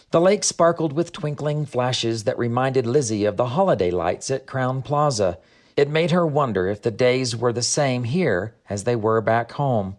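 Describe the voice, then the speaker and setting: A man reading scripted storybook narration in an expressive, measured storytelling manner. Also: mid-range voice. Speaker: author and audiobook narrator.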